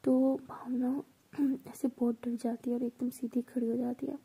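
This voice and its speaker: A woman's voice: fairly high pitch, flat delivery, a little slow, gravelly, dark tone, slightly rough. A woman narrating a story in a whisper.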